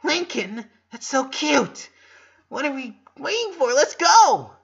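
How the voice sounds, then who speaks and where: A man voicing an energetic, affectionate teenage rocker girl who talks in excitable casual slang. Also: very high-pitched, takes it slowly, gravelly, lo-fi sound, voice pushed up, exaggerated voice. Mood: excited, gushing.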